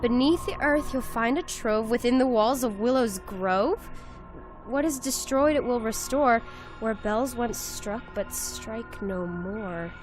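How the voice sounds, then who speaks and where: A woman's voice: a little high, takes it slowly, music in the background. A young woman in an amateur audio drama playing an outspoken, loyal teenage girl with an earnest, emphatic delivery. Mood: solemn reading. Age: young adult.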